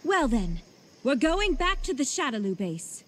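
A haughty young noblewoman from a fighting game. Her voice is very high and lively in melody, delivered slowly with command. There is faint noise behind it. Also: heavily acted. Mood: composed, haughty. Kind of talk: dubbed video game cutscene acting.

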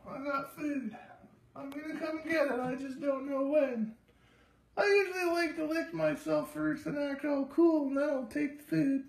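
A performer voicing a vain, sassy female house cat with a raspy, drawling voice, live-streaming from a home kitchen on a phone. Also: high-pitched, fairly even intonation, reverberant, poor audio. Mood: smug. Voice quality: raspy, gravelly. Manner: slow, drawling, full of herself. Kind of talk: live-stream pet narration. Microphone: phone camera held by the speaker.

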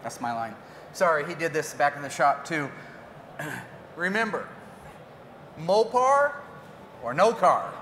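Car restorer in his fifties, brash and joking, lapel mic on a convention stage, boomy hall sound with faint crowd noise. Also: expressive, a little slow, pauses often, fairly bright tone. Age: 50s. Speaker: car restorer and show guest. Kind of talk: casual stage chat at a press event.

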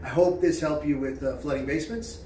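A man's voice: fairly high pitch, fairly quick, dark tone, slight background noise. Man, middle-aged, plain-spoken, casual explainer, distant camera mic, echoey small room. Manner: plain-spoken, many fillers and "right?" checks. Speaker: real estate agent. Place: small office room with whiteboard, indoors. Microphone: camera a few steps away.

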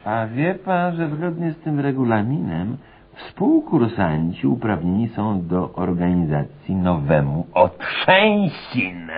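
A teasing, bullying classmate in a radio comedy. He is husky and lively, sliding between street talk and mock ceremony. The recording is narrow and phone-like. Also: on the low side, slow pace, exaggerated voice. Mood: sly teasing. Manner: colloquial, mock-ceremonious, needling. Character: sly, teasing male classmate bullying a newcomer. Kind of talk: satirical radio comedy acting.

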